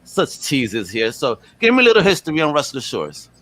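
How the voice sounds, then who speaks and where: Guy hosting a podcast, bright punchy voice kinda high, super hype, lots of slang. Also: wildly swooping pitch, brisk. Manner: hype-man enthusiasm, exclamatory. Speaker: podcast host. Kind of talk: upbeat casual interview chat with slang.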